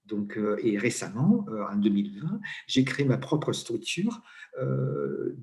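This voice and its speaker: A middle-aged man with an Italian accent, speaking French as an interview guest and pausing often with fillers and restarts. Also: medium pitch, sing-song, creaky, a little mellow. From Italy. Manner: hesitant, many fillers and restarts. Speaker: interview guest, coach and HR consultant. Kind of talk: conversational interview.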